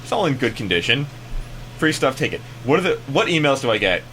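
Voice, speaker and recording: Bright-voiced American man bantering on radio. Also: mid-range voice, very animated, a little fast, slight background noise. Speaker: radio show host. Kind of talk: casual comic banter.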